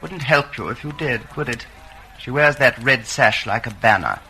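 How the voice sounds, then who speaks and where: An actor playing a clever, inquisitive intellectual colleague in a radio drama, with a clipped, urbane, drily arch manner. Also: male voice, medium pitch, moderate pace, pushed and bright, poor audio. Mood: dry, probing. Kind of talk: old radio drama acting.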